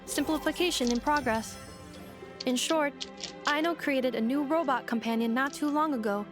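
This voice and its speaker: A woman's voice: high-pitched, moderate pace, over music, lightly acted. A woman voicing an earnest female robot companion in a video game, speaking in clipped, formal, machine-like phrases. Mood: flat, factual. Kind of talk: video game character acting.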